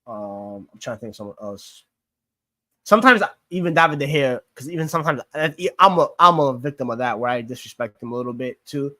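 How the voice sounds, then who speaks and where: A man's voice: mid-range voice, expressive, fairly quick, bright, forceful tone, no room sound. A young man from Greece who grew up in New York and talks with a Queens accent, casually chatting while answering questions from a live stream chat, rambling with plenty of fillers and restarts. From born in Greece, raised in New York. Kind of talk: casual livestream Q&A.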